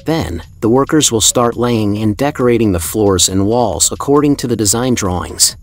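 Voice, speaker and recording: A man's voice: fairly low pitch, moderate pace. A man reading a scripted documentary voice-over in a formal, admiring, evenly paced way. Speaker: narrator. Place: voice-over, not the pictured building site. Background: soft background music.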